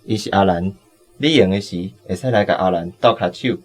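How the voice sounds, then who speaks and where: An actor playing a practical middle-aged cinema tradesman in a radio drama, measured and matter-of-fact, with a Taiwanese accent. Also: low-pitched.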